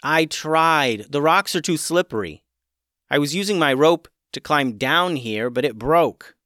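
A man's voice: on the high side, animated, measured pace, pushed and bright, dry recording, clean studio sound, pitched above the performer's own voice. A man voicing an earnest young boy who stammers, in a storybook reading. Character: eager young boy, earnest, easily amazed.

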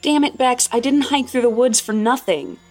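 A woman's voice: a little high, normal speed, darkish tone, faint music behind, pitched above the performer's own voice, lightly acted. A woman voicing a bold, sarcastic, impatient girl in a horror story, brash and pushy. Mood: angry, scornful. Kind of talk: horror story character dialogue.

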